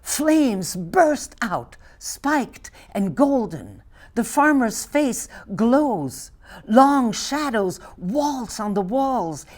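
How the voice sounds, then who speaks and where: Slow-reading older Flemish woman poet. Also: a little high, sing-song. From Belgium. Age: 80s. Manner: soft, measured reading with whispered passages. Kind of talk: poetry reading.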